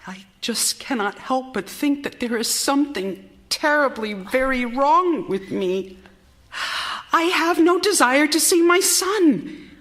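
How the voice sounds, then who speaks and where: An actress playing an anxious, exhausted young wife and mother in period radio theater, halting and intense, dropping into hushed tones. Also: high voice, lively intonation, full character voice. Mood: distressed, pleading. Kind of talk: period radio theater acting, monologue and dialogue. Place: theater stage, live performance.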